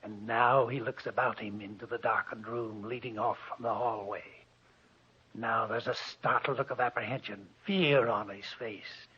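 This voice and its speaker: An actor playing a spooky, gloating old hermit who narrates murder in theatrical 1940s radio horror style. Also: male voice, on the low side, steady tone, slightly rough. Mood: ominous. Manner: theatrical, ominous, slow and deliberate. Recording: dull noisy old radio recording.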